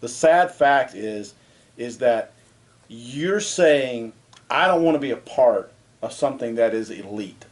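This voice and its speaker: A man's voice: mid-range voice, animated, slow. A man co-hosting a casual sports talk show, speaking plainly and getting worked up over his opinions. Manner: plainspoken, gets fired up with strong opinions.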